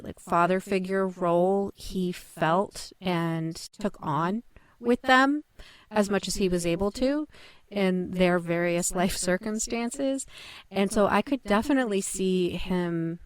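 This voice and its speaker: A woman's voice: lowish voice, clean tone, no echo. A woman talking casually as a podcast panelist, thinking through her points with tentative, thoughtful phrasing. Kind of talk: casual discussion.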